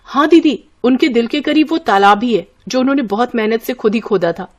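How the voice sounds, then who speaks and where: A woman's voice: on the high side, lively intonation, a little fast. Woman putting on an eager curious younger sister. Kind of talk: scripted story dialogue.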